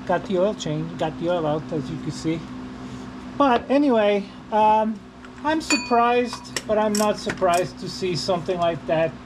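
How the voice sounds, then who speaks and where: Slow-talking man over background noise. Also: high voice. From Portugal. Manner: halting, rambling, repeats himself. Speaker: vlogger. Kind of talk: casual unscripted vlog chat while working. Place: working on a car during an oil change. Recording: noisy, with vehicle and ambient hum.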